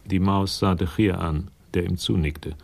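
Very deep, gravelly male narrator. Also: steady tone, a little slow, a little mellow. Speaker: narrator of a radio crime drama. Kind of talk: scripted literary narration.

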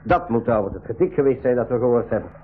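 An actor playing a brisk, practical and protective companion, giving instructions in a 1980s school radio drama. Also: male voice, mid-range voice, expressive, lightly acted. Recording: dull, noisy old radio recording.

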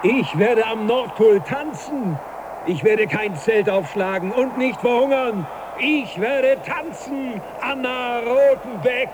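Dreamy yearning husband, high voice, radio drama, noisy old tape. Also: male voice, slow. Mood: exalted. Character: dreamy, exalted husband longing for polar adventure. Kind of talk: German radio play acting. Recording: noisy 1980s cassette radio recording.

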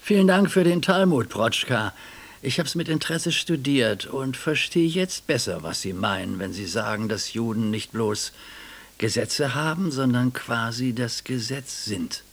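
A man's voice: mid-range voice, lively intonation, unhurried, fairly bright tone. An actor in a radio drama playing an earnest, ailing older man who reflects on mystical experiences with educated diction. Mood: thoughtful. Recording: faint tape hiss.

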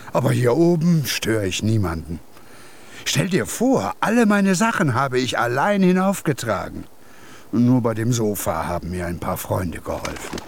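An actor playing a whimsical, kindly junk collector in a German radio play, musing and animated with a raspy voice. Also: male voice, on the low side, slight background noise, full character voice. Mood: lively, confiding.

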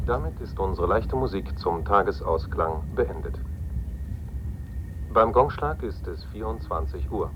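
An actor playing a formal late-night announcer heard through a car radio in a radio play. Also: male voice, sits low, lively intonation, unhurried. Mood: neutral, official. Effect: car radio filter. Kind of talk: scripted radio continuity announcing. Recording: dull car radio over engine noise.

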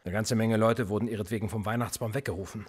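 An actor playing a cynical, sly police interrogator in a radio play, with an insinuating, coolly threatening manner. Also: male voice, lowish voice, steady tone, fast, a little mellow, slightly rough. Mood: mocking, pressing. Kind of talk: naturalistic German radio play acting.

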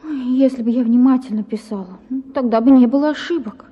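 A man's voice: very high voice, fairly quick, breathy edge, pitched above the performer's own voice. A man putting on a flustered young wife. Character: young woman, flustered and childish. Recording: lo-fi radio reading.

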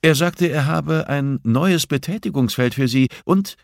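A man's voice: fairly high pitch, measured pace, creaky, no room sound, clean studio sound, voice pushed up. A man voicing a businesslike female secretary in an audiobook, clipped and formal. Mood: regretful, urgent.

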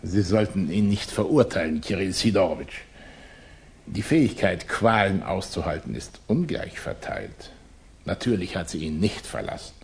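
Cunning émigré revolutionary, low husky voice, theatrical swooping delivery, oily flattery, condescending, 1960s radio drama. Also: male voice, normal speed, exaggerated voice. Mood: suave, lecturing. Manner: theatrical, florid, oily politeness with chuckles. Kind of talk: 1960s German radio play acting.

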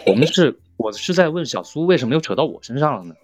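A man's voice: mid-range voice, sing-song, quick pace, a little mellow, no room sound, soft background music. A man chatting casually and playfully as a voice actor guest in a group conversation.

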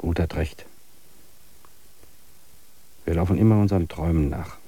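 A man's voice: deep voice, normal speed, dark tone, breathy edge. A man in a classic radio play speaking earnestly, musing on dreams and reality in a philosophical tone. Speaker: main character and reflective narrator.

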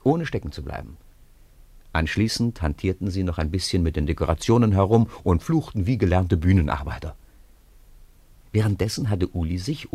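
Deep, husky male storyteller. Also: a little mellow, no echo. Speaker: narrator. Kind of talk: scripted storybook narration in a radio play.

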